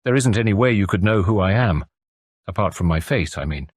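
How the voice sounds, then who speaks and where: A wary, indignant well-to-do woman, read by a man in his own low voice. She speaks clipped and guarded. The sound is close and dry. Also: lively intonation, brisk, no echo.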